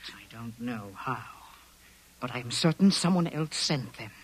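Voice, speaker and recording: An actor playing a sinister, irritable conspirator son in a 1950s radio drama, with a husky voice. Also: male voice, mid-range voice, animated, measured pace. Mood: fearful, hushed. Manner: snapping, menacing, with smooth feigned deference. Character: sinister irritable son, fearful conspirator hiding among humans.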